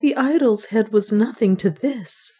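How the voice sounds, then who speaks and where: A woman's voice: mid-range voice, unhurried, dark tone, husky, voice pushed up. A woman voicing a proud young woman who is frightened, tearful and pleading in an audiobook adventure. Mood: faint, fearful. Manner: faint, emotional, pleading.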